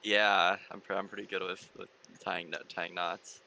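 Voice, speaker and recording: A man's voice: low voice, flat delivery, normal speed, gravelly, bright, forceful tone, poor audio. A man chatting casually as a player in a tabletop roleplaying game.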